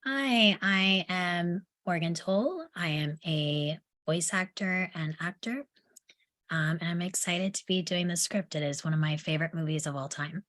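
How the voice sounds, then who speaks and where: A woman's voice: on the low side, unhurried, a bit bright. A woman who works as a voice actor, speaking informally. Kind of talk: casual talk.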